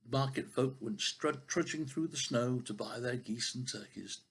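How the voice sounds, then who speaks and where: An older man reads a children's tale aloud in a rough, husky voice with plenty of creak. He is expressive in the manner of a grandfather at storytime, and now and then trips over a word. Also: medium pitch, moderate pace. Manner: expressive with occasional stumbles over words.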